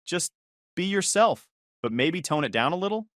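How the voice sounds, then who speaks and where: A smug, manipulative young woman, voiced by a male narrator in a story reading. She coaxes and talks down in a condescending way. Her lines are read a little higher and livelier, with a dry sound. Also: very animated, normal speed, a bit bright, no echo. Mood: coaxing. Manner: coaxing, condescending.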